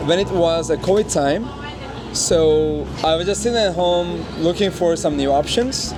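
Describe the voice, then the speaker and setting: A man's voice: fairly high pitch, background noise. A young man from Turkey, a native Turkish speaker who teaches English, talking thoughtfully and sometimes searching for words. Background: background music.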